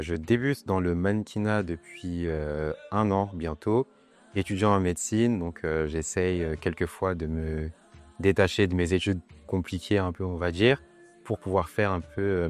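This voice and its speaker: A man's voice: sits low, normal speed, a little mellow, faint music behind. A man of about twenty, a student model, answering interview questions in a gallery, hesitant and full of fillers. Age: 20s. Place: art gallery.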